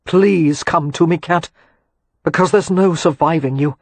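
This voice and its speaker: A woman voicing an earnest young gentleman. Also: sits low, unhurried, dry recording. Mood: pleading. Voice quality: firm, raised voice, clipped. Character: young gentleman, glib, then earnestly in love.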